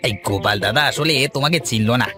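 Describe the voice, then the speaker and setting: A man's voice: on the low side, expressive, quick pace, over music, close to an everyday voice. A man voicing a cheeky, flirtatious and cowardly customer in a cartoon. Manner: wheedling, whining when scared.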